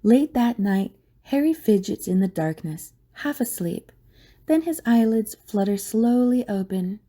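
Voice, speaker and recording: A woman's voice: fairly high pitch, expressive, a little slow, warm tone. A woman narrating a scripted audio drama in a dramatic storytelling style.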